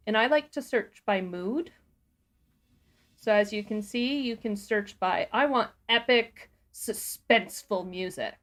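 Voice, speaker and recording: Woman, unhurried pace, mid-range pitch, casual livestream tutorial host, step-by-step explanations. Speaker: sound designer. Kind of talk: casual software walkthrough.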